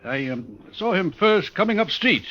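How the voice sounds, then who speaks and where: An actor playing a respectable family doctor as a witness in old radio drama, formal, careful and a little hesitant. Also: male voice, high voice, sing-song, moderate pace, bright, forceful tone, exaggerated voice. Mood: careful, earnest. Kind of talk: 1940s-50s radio drama acting. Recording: muffled old radio recording.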